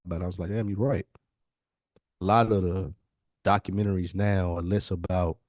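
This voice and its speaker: A man's voice: low voice, darkish tone, no room sound, clean studio sound. An American man co-hosting a casual podcast discussion, speaking bluntly and repeating phrases for emphasis. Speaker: podcast co-host.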